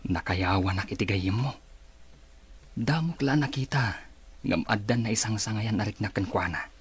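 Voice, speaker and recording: Teasing big brother: low, husky voice, Ilocano accent, radio drama. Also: male voice, normal speed. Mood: encouraging. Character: teasing, curious older brother.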